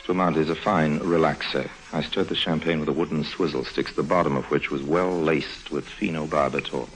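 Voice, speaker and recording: An actor playing a suave, scheming swindler in a 1950s radio drama, urbane and smoothly insinuating as he talks. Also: rumbling low, low-quality recording. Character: suave middle-aged scheming swindler, smooth charmer and narrator. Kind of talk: 1950s radio drama acting, wry confidential narration. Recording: vintage radio recording with faint music.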